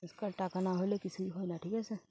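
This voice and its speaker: A woman acting as a brash Bangladeshi city woman in a phone-call audio drama. She talks fast and matter-of-factly, coaxing in a soft, mellow tone. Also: medium pitch, steady tone, slight background noise, natural voice. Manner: casual, matter-of-fact, coaxing. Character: brash, persuasive city woman in shady lucrative trade. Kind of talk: staged phone-call audio story, conversational.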